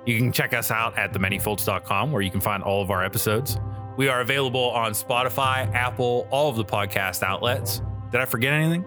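A man's voice: medium pitch, a little fast, music in the background. A man co-hosting a podcast in a casual, informal chatty style. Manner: informal, frequently swearing. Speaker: podcast co-host.

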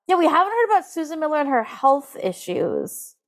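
A woman co-hosting a podcast, chatting casually in a halting way with lots of filler words. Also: sits high, sing-song. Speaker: podcast co-host. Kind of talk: casual chat, animated recap.

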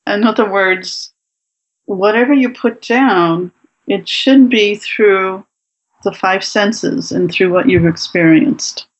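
A woman from Morocco who teaches writing workshops, speaking as a guest in an interview in a thoughtful, measured way. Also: mid-range voice, dull audio. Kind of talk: interview, reading her own prose aloud.

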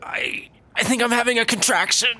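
A man's voice: very high-pitched, fairly even intonation, a little fast, bright, forceful tone, slight background noise, heavily acted. An actor playing a goofy, self-dramatizing man in a comedy audio drama, whiny and earnest about his imagined pregnancy. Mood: wounded earnestness.

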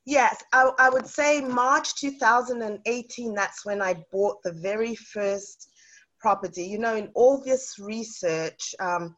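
A woman's voice: on the high side, measured pace, fairly bright tone, low-quality recording. A woman from South Africa, a guest chatting in an interview with lots of fillers and restarts. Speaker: guest real estate investor. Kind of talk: casual interview.

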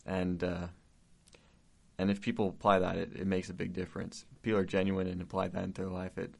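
Deep gravelly young host, supportive. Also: male voice, completely flat delivery, a little fast. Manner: warm, supportive, many false starts. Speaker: podcast host. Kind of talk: casual conversation.

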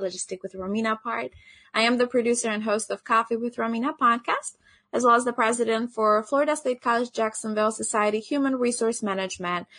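A woman's voice: on the high side, a bit bright, dry recording. A young woman from Albania, speaking, gives an earnest online conference presentation as a podcast host, often saying "actually" and "you know.".

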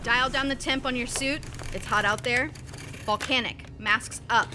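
A woman playing a tough, blunt spaceship crew officer with a brisk, commanding manner in an audio drama. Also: fairly high pitch, noisy background, lightly acted. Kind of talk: science fiction audio drama.

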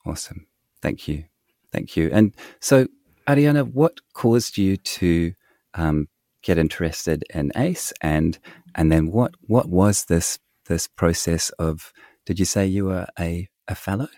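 A man's voice: deep voice, unhurried, warm tone, dry recording, studio quality. A man hosting a show, talking casually with his guests in an interview. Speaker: podcast host.

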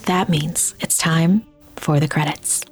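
Flat-voiced woman reading podcast outro. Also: sits low, slow, husky, soft background music. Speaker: podcast host. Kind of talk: scripted outro announcements.

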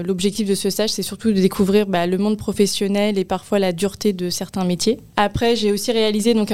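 A woman's voice: mid-range voice, darkish tone, clear, resonant tone. A young woman from the Paris region of France answering interview questions in an explanatory way, with frequent hesitations. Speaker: interview guest, senior property programme manager.

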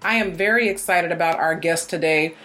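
A woman's voice: lowish voice, a little fast, sharp tone, slight background noise. Upbeat woman podcast host. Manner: upbeat, polished, lilting delivery. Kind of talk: podcast interview hosting.

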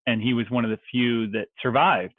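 A man from Texas speaking as a real estate investor guest on a podcast, relaxed and joking. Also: mid-range voice, fairly quick, no echo, narrow, tinny audio. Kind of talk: podcast interview.

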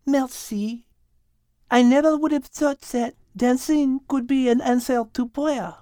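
Gracious French female cat, storybook animal leader, played by a man in falsetto. High, measured, very dry recording. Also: fairly even intonation, dark tone, clear, resonant tone, no echo. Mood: humble, wondering.

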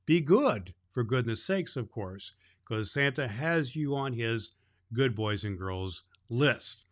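A kindly old Santa Claus, played by a man, hosting a children's Christmas show. He talks to young listeners in a cheerful, fatherly manner, leaning on key words with folksy emphasis. His voice sits at an ordinary male pitch. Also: fairly bright tone. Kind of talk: storytelling and chat addressed to children.

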